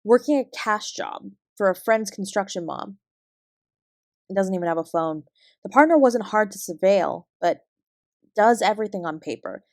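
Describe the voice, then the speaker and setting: A woman with a Canadian accent playing a guarded, weary fraud investigator, giving a clipped, measured account in an audio drama. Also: mid-range voice, lively intonation, dry recording, clean studio sound, close to an everyday voice. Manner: measured, clipped report-like delivery. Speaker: investigator being interviewed.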